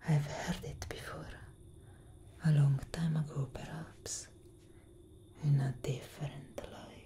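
A woman voicing an ancient, grave and protective elder vampire sister in a breathy, dramatic whisper for an ASMR roleplay. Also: deep voice, level tone, warm tone. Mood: troubled, urgent. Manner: slow, dramatic, intense delivery. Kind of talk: soft-spoken ASMR roleplay.

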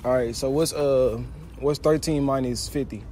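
A man's voice: mid-range voice, normal speed, dark tone, slight background noise. A young man quizzing students outside on a campus, loose and joking in his manner. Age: young adult. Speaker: street quiz interviewer. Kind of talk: casual street quiz. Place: outdoors on a college campus.

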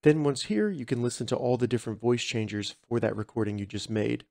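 A man's voice: medium pitch, fast talker, warm tone, no room sound. Man narrating a step-by-step tutorial, dry sound. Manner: clear step-by-step instructing. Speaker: host of tech tutorial channel.

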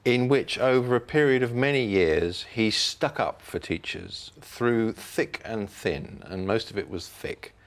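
Man, lowish voice, measured and articulate panel reviewer. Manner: measured, articulate, formal phrasing. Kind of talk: considered spoken book review.

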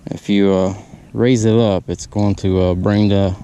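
A man giving casual fishing tips from a lakeshore, talking in halting bursts with lots of "uh" fillers, on a body-mounted camera. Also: lowish voice, flat delivery, dark tone, slight background noise. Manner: halting, many "uh" fillers, laid-back. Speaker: fishing vlogger. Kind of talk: casual how-to narration while fishing. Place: outdoors on a lakeshore. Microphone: body-mounted action camera.

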